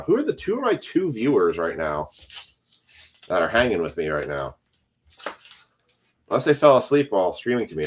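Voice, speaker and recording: A man's voice: low-pitched, a little hiss or hum. A man casually chatting on a live stream while opening trading card packs, rambling to his viewers.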